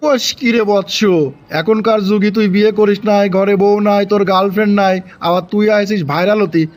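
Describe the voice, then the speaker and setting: A man acts out a comic cartoon guru who lives in the forest. His voice is notably high, with a Bangladeshi accent. He preaches and chides in an exasperated way, repeating his orders for emphasis. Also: fairly even intonation, normal speed, natural voice. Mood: bossy advising. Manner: preachy, chiding, repeats commands. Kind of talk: exaggerated comic cartoon acting.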